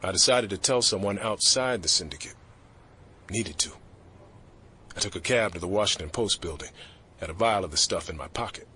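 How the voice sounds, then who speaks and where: A man's voice: deep voice, expressive, moderate pace, pauses often, pushed and bright, a little hiss or hum. A man playing a bitter, secretive government informant in an audio drama, guarded, curt and ominous. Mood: grave recounting.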